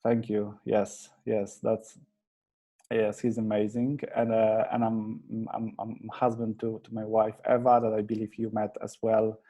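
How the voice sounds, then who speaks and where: A Polish-accented man in his thirties answers interview questions with a mellow, dark tone. His delivery stays flat and even, and he keeps restarting his sentences in an earnest, halting way. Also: fairly low pitch. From Poland. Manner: hesitant, many restarts and fillers, earnest. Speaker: interview guest, agency founder. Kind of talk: conversational interview.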